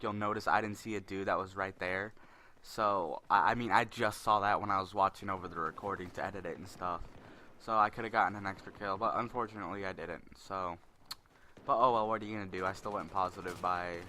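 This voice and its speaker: A young man with a deep voice giving rambling, spontaneous commentary over gameplay, a little self-conscious. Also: bright, forceful tone, slight background noise.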